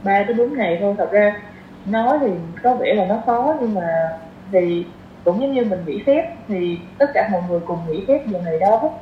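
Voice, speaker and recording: A woman's voice: mid-range voice, lively intonation, a little fast. A woman in her twenties with a southern Vietnamese accent, chatting informally as a podcast guest and mixing in English slang. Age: 20s. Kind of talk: casual conversational interview. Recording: roomy, dull sound with faint noise.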